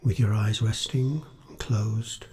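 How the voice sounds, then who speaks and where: A man leading a guided meditation as a teacher, speaking in a gentle, soothing, unhurried way with pauses. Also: fairly low pitch, steady tone.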